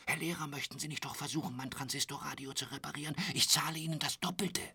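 An actor in a radio drama playing a talkative, intrusive, lecherous hotel roommate who boasts and pities himself in a pestering way. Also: male voice, on the high side, steady tone, fast, bright, forceful tone, exaggerated voice. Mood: restless, pleading. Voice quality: husky, dropping into whispers. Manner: garrulous, pestering, repeats pet phrases.

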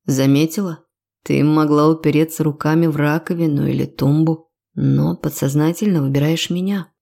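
A woman voicing a self-assured, quietly commanding male lover in a romance audiobook, measured and teasing. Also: low voice, steady tone, gravelly.

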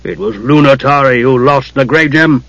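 Dwarf god, played by a male narrator: indignant, pleading bluster, raised pitch, lively swings, fantasy audiobook. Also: brisk, husky, no echo, dull audio, exaggerated voice. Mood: grumbling.

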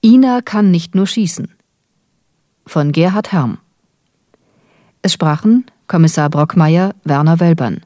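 A woman announcer reads scripted radio credits. Her voice is fairly low and mellow, recorded dry with no room sound. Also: expressive, a little slow, pauses often, dry recording.

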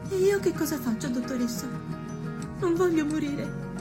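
High, fearful, complaining woman. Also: animated, moderate pace. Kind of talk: theatrical radio drama acting. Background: loud music.

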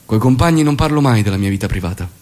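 An actor playing an earnest, reserved guerrilla leader turned minister, speaking in a measured, didactic stage manner with music behind him. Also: male voice, medium pitch, lively intonation, a little mellow, close to an everyday voice. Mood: guarded. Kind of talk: Italian stage drama acting.

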